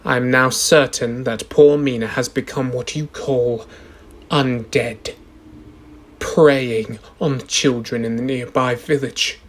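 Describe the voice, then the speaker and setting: A young man in a radio drama playing a solemn advocate reading a logbook aloud, in a deliberate, ominous dramatic style. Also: mid-range voice, steady tone. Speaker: actor in a school radio drama.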